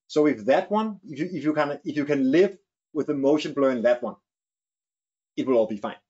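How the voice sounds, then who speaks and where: A man's voice: fairly high pitch, animated, fairly quick, warm tone, husky. Danish-accented man, 40s, hesitant webinar expert; lo-fi studio audio. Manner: hesitant, restarts phrases. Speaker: camera company expert. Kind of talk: webinar discussion. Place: studio with large screen.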